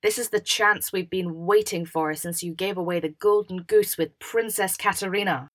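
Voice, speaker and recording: A woman's voice: lowish voice, steady tone, normal speed, a bit bright, no echo. A woman playing a bossy, triumphant royal elder brother in a fan audio drama, brash and crowing.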